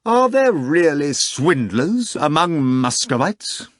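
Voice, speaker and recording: A man's voice: fairly high pitch, sing-song, takes it slowly, no echo, clean studio sound, voice pushed up. A man voicing a suave, condescending male magician in an audiobook reading. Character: suave, condescending foreign magician, an older devil.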